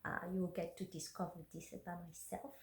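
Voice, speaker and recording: A woman's voice: fairly low pitch, little pitch movement, fairly quick, gravelly. A woman whose first language is French, an intuitive energy worker who speaks hesitantly with many ums and uhs in a personal vlog and then reads her journal aloud. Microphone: phone. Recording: roomy phone recording.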